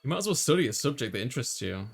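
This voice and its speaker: A man's voice: medium pitch, very animated, very fast, vocal fry, slightly rough, faint music behind. A man hosting a let's play, chatting casually and reading a visual novel's lines aloud. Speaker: host, gamer reading player character lines.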